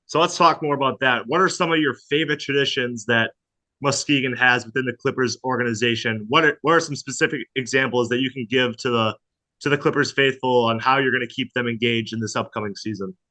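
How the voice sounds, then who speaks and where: Male sports-broadcaster interviewer, bright forward tone, mid pitch, polished and prepared delivery. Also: fairly even intonation, fairly quick.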